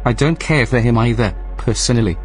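A male actor voices a computer intelligence in an audio drama. It is composed and formal, with a smug edge and a medium pitch. Its delivery stays measured and matter-of-fact. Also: soft background music, lightly acted. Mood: smug reproach.

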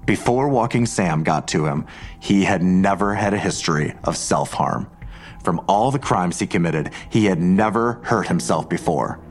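An actor playing a menacing, suspicious police detective in an audio drama, pressing with pointed, accusatory questions. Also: male voice, low-pitched, lively intonation, normal speed, soft background music. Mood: cold, probing.

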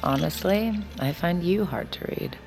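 A wary young woman, very low-voiced with a noticeable fry. She is dry, guarded and lovesick, speaking intimately as in an audio drama, over soft music. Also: expressive, measured pace. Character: wary, lovesick young woman with secret mind-reading power. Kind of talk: intimate audio drama acting with inner narration.

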